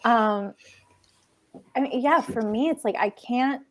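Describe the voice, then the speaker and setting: A woman's voice: on the high side, takes it slowly. A woman from Israel whose first language is Hebrew, speaking on a panel with frequent restarts and hesitations. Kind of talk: casual panel discussion.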